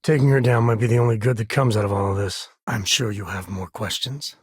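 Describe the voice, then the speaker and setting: An actor playing a rugged, easygoing male space ranger in a video game, with a husky voice and a wry, folksy, conversational manner. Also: lowish voice, steady tone, fairly quick, no echo, studio quality. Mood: bitter, reflective. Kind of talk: expressive game companion dialogue.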